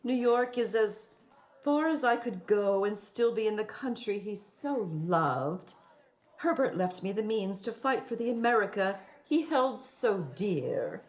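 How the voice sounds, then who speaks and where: A woman's voice: mid-range voice, expressive, a little slow, close to an everyday voice. A woman playing a scheming, prim widow in a radio play, with a florid, sanctimonious and affected delivery. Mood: flustered, wistful. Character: scheming widow posing as prim, melodramatic moral crusader. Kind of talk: theatrical radio play acting.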